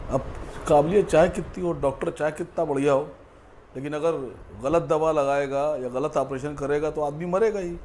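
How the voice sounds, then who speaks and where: Sardonic man, slightly high voice, debating. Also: fairly even intonation, normal speed, a little mellow. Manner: sardonic, using mock praise. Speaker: debate panelist. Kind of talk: television debate rebuttal. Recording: faint background noise.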